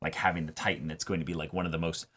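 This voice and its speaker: A man's voice: extremely low pitch, flat delivery, rapid-fire, a bit bright. A man co-hosting a reaction show, talking in casual, emphatic fan analysis with repeated phrases. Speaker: co-host of an anime reaction show. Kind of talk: casual chat, fan analysis of an anime episode.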